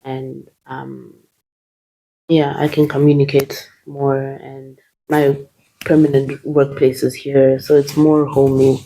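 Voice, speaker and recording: A woman from Zimbabwe, Shona her first language, talks as an interview guest. Her voice sits low and sounds mellow and soft. She talks hesitantly, with fillers and restarts. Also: flat delivery, slow pace, a little hiss or hum, poor audio.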